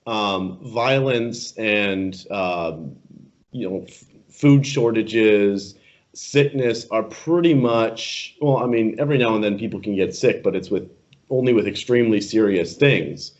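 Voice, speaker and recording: A young man hosting a casual interview, chatty and quick to laugh, rephrasing his questions as he goes. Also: lowish voice. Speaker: interviewer host. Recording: muffled lo-fi recording.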